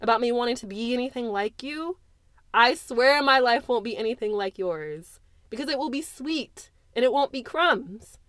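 A woman voicing a hurt teenage girl who shouts bitterly at her mother through tears. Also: fairly high pitch, expressive, moderate pace, no room sound, clean studio sound, voice pushed up.

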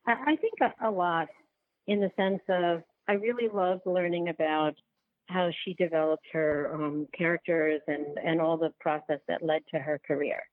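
Thin phone-quality audio, woman, lowish voice, casual interview guest, halting restarts. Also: fairly even intonation, no echo. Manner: halting, restarts sentences, repeats phrases. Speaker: interview guest, documentary filmmaker. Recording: thin, dry phone-like line.

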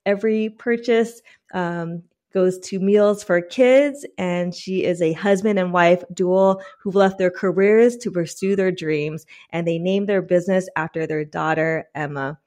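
A woman's voice: lowish voice, a little slow, darkish tone. A woman hosting a podcast and interviewing a guest in a bubbly, enthusiastic way. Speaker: podcast host.

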